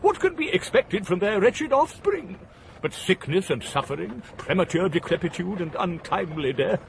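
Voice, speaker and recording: An actor playing a misanthropic gentleman philosopher in a period radio drama, declaiming with a British accent in vehement, grandiloquent rhetoric. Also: high voice, very animated, moderate pace, vocal fry, slight background noise, full character voice, poor audio. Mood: ranting, bitter.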